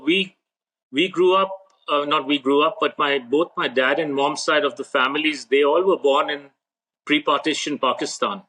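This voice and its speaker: Measured man giving blunt pronouncements. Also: a little high, fairly even intonation, sharp tone, poor audio. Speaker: podcast guest. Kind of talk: interview answers.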